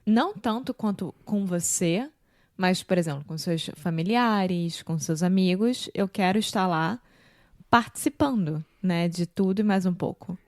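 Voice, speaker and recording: Carioca woman with a low, dry voice. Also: unhurried, no echo. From Brazil. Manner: relaxed and explanatory, talks things through. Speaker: podcast co-host. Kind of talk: casual conversation.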